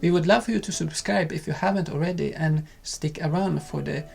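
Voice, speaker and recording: Guy, calm and slow, bit gravelly, soft music behind. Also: on the high side. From Sweden. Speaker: vlog co-host. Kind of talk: casual chat and sponsor read.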